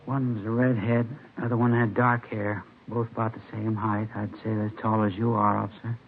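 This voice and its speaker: An actor playing a mild, middle-aged American shopkeeper in a 1950s radio drama, gravelly, earnest and plain-spoken. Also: male voice, on the low side, level tone, brisk, natural voice. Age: 50s. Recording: noisy, muffled vintage radio recording.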